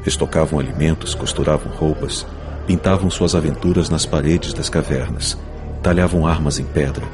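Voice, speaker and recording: Very deep-voiced male narrator over music. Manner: solemn, measured, theatrical. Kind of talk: dramatic scripted audiobook narration.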